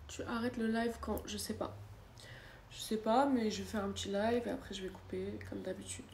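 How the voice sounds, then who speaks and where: A woman's voice: mid-range voice, steady tone, moderate pace, darkish tone. A young woman with a cold, chatting casually on a phone livestream from her sofa and answering viewers' comments. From France. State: has a cold. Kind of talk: casual live chat. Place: living room, seated on a sofa, indoors. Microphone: phone camera at arm's length. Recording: echoey room with faint background noise.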